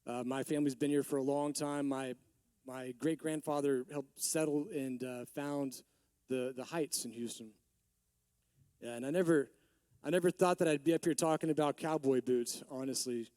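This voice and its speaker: A man in his forties from Texas, a business owner giving a rambling conference talk with many restarts, his voice a little hoarse from allergies. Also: medium pitch, fairly even intonation, moderate pace, a little mellow, dry recording. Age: 40s. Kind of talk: conference speech.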